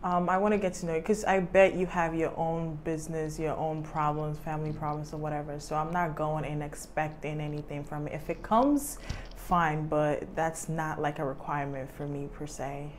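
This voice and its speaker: A woman's voice: low voice, steady tone, normal speed, slight background noise. A young woman, born in Ghana and living in the United States, speaking candidly as a guest in a casual studio interview. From Ghana, raised in the United States. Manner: candid, laughs often, says "um" and "like". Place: studio set with screens, indoors.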